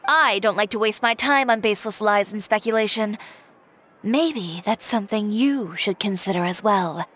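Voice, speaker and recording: A woman's voice: mid-range voice, normal speed, close to an everyday voice. A woman playing a direct, self-assured young fashion designer in a video game. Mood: cool. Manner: direct, cool, matter-of-fact. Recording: game audio with soft music. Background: soft game music.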